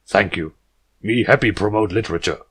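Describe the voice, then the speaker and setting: A man voicing a gruff, muscular jungle stallion who talks in terse, broken caveman-like sentences for a story reading. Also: on the low side, fairly quick, a bit bright. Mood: terse, pleased.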